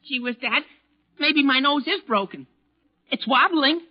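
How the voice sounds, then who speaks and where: An actor playing a whiny, excitable teenage son with melodramatic complaints in 1940s radio comedy style. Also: male voice, very high-pitched, a little slow. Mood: pained. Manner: whining, melodramatic. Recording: muffled 1940s radio broadcast recording.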